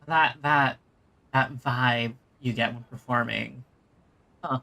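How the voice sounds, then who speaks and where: A woman's voice: a bass voice, fairly even intonation, slow pace, vocal fry, sharp tone, slight background noise. A woman giving casual, brief reactions while playing and streaming a game. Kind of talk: casual gaming stream commentary. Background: game music.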